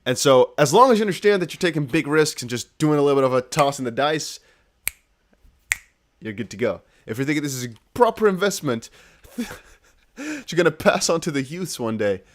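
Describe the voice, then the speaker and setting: A man's voice: a little high, animated, brisk. A man from the Netherlands with a Dutch accent, chatting to viewers in a casual, emphatic livestream commentary. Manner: chatty, emphatic, repeats points, addresses viewers directly. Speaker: crypto news streamer.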